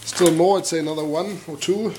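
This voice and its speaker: A man's voice: fairly high pitch, fairly even intonation, a little fast. A man giving a casual hands-on demonstration in a workshop, speaking informally in short asides as he works. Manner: informal, clipped asides while working. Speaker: tutorial presenter. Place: workshop, at a bench vice. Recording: clear background noise, filing and clicking. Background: metal filing rasp and clicks.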